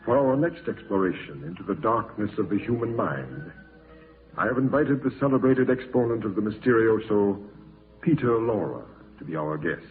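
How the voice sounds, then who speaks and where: An actor playing a mysterious master of mystery, hosting a 1940s radio horror show in a grave, theatrical manner on a tinny recording. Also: male voice, on the low side, animated, moderate pace, soft background music, natural voice. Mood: grave, ominous. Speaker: host of horror anthology.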